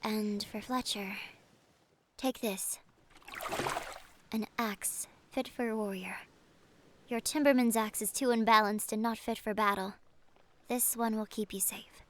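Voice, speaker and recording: A woman playing a kindly ancient siren in an audio adventure, speaking in a gentle, solemn, measured manner. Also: fairly high pitch, steady tone, slight background noise. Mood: warm, earnest. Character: kindly ancient siren, a female sea monster. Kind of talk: audio roleplay acting.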